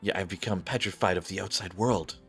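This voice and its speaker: Game narrator: earnest young dreamer acted out by a man, wistful storytelling, low-pitched, music behind. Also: fairly quick, fairly bright tone, breathy edge, full character voice. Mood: earnest, rueful. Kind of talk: read-aloud scripted game narration.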